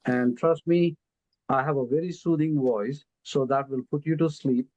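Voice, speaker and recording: A man with an Indian accent presenting an investment pitch on a video call, speaking slowly and deliberately with many fillers. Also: fairly even intonation, darkish tone, no room sound, muffled recording. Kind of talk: casual webinar presentation.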